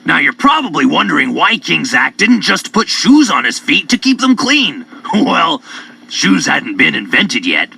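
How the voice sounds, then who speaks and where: A man narrating children's stories in a playful, theatrical, drawn-out way. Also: on the high side, huge pitch swings, vocal fry, pushed and bright. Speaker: storyteller. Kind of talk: animated children's story narration. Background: music.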